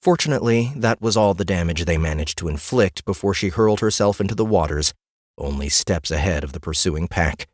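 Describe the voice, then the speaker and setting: A man narrates a fantasy audiobook in a low voice. The reading is scripted storytelling, captured close and very dry on a studio mic. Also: lively intonation, fairly quick, dry recording.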